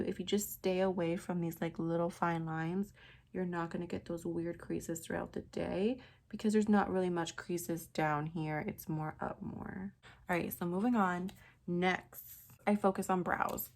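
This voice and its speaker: Raspy young woman chatting through a tutorial. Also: fairly low pitch, a little mellow. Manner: chatty, rambling with many fillers. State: raspy from a sinus infection. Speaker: beauty vlogger. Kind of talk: casual makeup tutorial.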